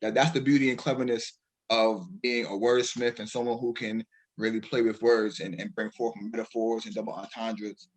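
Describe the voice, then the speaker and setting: A man's voice: fairly low pitch, little pitch movement, normal speed, low-quality recording. A man from Brooklyn with a Bajan accent, talking as a poet guest in a radio interview, with lots of restarts and fillers. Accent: Barbadian (Bajan). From Brooklyn, New York. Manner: hesitant, many restarts and fillers.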